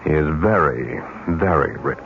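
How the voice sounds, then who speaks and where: An actor playing a smooth, evasive American schemer posing as a businessman, speaking deliberately in an old-time radio drama style. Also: extremely low pitch, lively intonation. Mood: coolly insinuating. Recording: dull, faintly noisy old radio recording.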